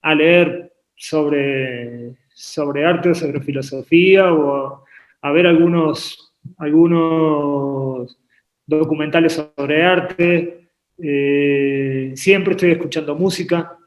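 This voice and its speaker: A man from Uruguay raised in Argentina, talking about his work as a painter on a video call, often pausing and repeating words as he thinks. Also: fairly high pitch, steady tone. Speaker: painter and artist guest. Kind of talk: relaxed interview conversation. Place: home painting studio. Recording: echoey room on a video call.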